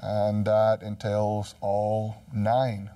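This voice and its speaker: A middle-aged man from Cuba speaks as a guest on a studio panel. He talks in a measured way, pausing with fillers. His voice is low and mellow, slightly off-mic in a dry room. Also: monotone, no room sound, distant mic. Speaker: guest, program manager. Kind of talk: casual TV panel chat. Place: TV studio set, seated.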